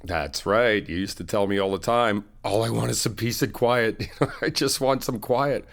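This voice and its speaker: A middle-aged man in an ASMR friend roleplay, talking in an easygoing, reassuring, chatty way with soft laughs. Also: on the low side, moderate pace, creaky. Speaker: ASMR roleplay creator playing a best friend.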